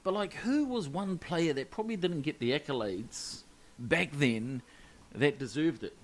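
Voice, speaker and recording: Playful Kiwi man, halting and teasing. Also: a little high, expressive, a little hiss or hum. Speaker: podcast co-host. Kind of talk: casual chat.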